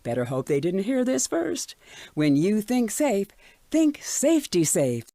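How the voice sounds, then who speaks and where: A woman playing a smooth, sly commercial announcer reading a scripted radio advertisement. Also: mid-range voice, big pitch swings, unhurried, dark tone. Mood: slick, sly.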